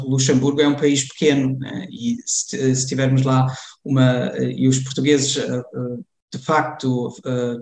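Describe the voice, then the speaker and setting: A young man from Germany, a guest in a casual conversation, speaking hesitantly with many fillers and restarts. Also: mid-range voice. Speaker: interview guest. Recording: roomy echo.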